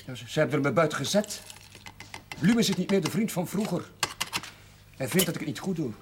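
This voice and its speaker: A man's voice: a little high, lively intonation, lightly acted. Man playing a shy young Dutch chaplain, noisy soundtrack. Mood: aggrieved. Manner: hesitant, halting, earnest. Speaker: actor in television drama. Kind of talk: naturalistic 1970s television drama acting. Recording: noisy 1970s television soundtrack.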